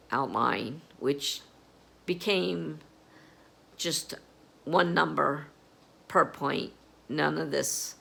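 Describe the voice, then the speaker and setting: A woman with a froggy, hoarse voice chatting casually about her writing plans, rambling with plenty of fillers. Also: low-pitched, fairly bright tone. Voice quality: gravelly. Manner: slow, rambling, with many fillers. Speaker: writer vlogging about novel prep. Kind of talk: casual chat to camera. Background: air conditioner humming.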